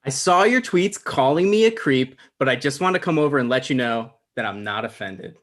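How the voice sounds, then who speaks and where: A man playing an awkward, thin-skinned, lonely show host in a comedy table read, halting and defensive. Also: mid-range voice, lively intonation, a bit bright, natural voice. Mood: awkward, eager.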